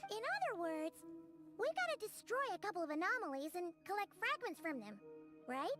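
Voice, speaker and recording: A woman's voice: extremely high pitch, sing-song, a little fast, a bit bright, soft background music, full character voice. A woman voicing a small, eager, youthful girlish companion in video game dialogue. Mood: earnest, chirpy.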